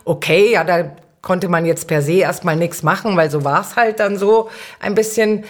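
Earnest middle-aged woman, slightly low voice. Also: moderate pace. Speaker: podcast guest, cultural manager and councillor. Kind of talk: casual conversational interview.

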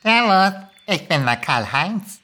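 Casting: a woman playing a small, grubby male storybook goblin. Very sharp, forceful tone, swinging pitch, boastful and unfazed. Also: very animated, slow pace, exaggerated voice. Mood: lazily amused. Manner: casual, colloquial, drawling, unfazed, boastful. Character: cocky, laid-back small grubby male goblin with big nose.

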